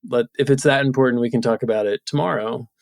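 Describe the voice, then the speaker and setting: A man's voice: mid-range voice, fairly even intonation, quick pace, vocal fry, no room sound, clean studio sound. A man in his thirties talking casually and reflectively as a guest in an interview. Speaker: podcast guest, entrepreneur.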